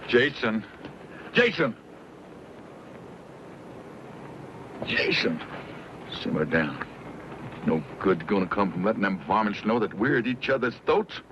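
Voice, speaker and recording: An actor playing a gruff, distrustful old frontiersman with folksy backwoods speech in an old western radio drama. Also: on the low side, big pitch swings, moderate pace, noisy background. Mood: urgent, firm. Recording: muffled radio recording with music behind.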